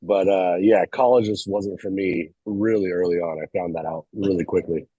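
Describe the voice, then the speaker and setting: Man from California, easygoing interview guest, low voice, husky edge. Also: normal speed, mellow, no echo, highs cut off. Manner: easygoing, laughs often. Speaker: guest, documentary filmmaker. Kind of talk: casual interview chat.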